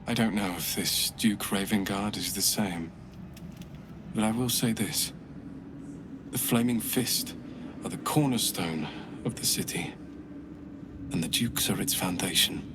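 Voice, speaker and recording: Slow, low, weary old soldier played by a man. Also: noisy background, lightly acted. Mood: solemn, admiring. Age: older. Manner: solemn, measured, earnest. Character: weary, grateful old soldier, a frail relic. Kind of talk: video game dialogue acting. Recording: music behind the voice.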